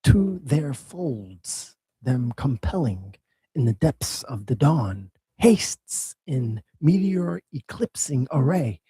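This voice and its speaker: Unseen spirits rejoicing at dawn, a slow lyrical recitation by a man. the voice is breathy and husky, with a dark low tone. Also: no echo, exaggerated voice.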